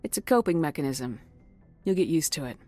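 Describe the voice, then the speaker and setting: A woman's voice: deep voice, expressive, fairly quick, mellow, soft background music. A woman voicing a tough, composed military space commander in a video game, clipped, dry and authoritative.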